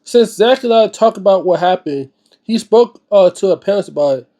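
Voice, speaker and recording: A man with a high-pitched, mellow voice reads aloud like the host of an informal book series. His delivery is halting, and he often trips over and repeats words. His phrasing is casual and slangy when he comments. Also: expressive. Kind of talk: dramatic book reading with informal spoken commentary.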